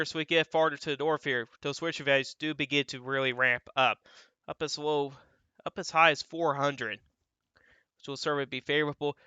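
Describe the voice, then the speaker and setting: A young man with a slightly stuffy, dry-throated voice walks through weather forecast maps in a loose, rambling way, leaning on the same filler phrases. His tone is bright and clean, and he sits close to a muffled, slightly dull microphone with no room sound around him. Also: fairly high pitch, clean tone, no echo. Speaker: weather channel host. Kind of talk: casual weather forecast commentary over model maps.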